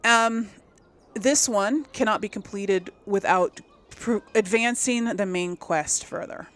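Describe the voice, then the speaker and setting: A woman's voice: medium pitch, slow pace, a bit bright. A woman giving casual let's play commentary as host of a gaming video, chatty and thinking aloud as she goes.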